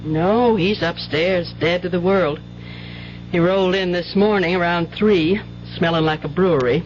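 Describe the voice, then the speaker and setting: A woman's voice: fairly low pitch, a little slow, lightly acted. Woman acting tired loving country mom, plain folksy talk, old radio play, muffled w music. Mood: weary, bitter. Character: weary, loving working-class country mother. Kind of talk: 1970s radio drama acting.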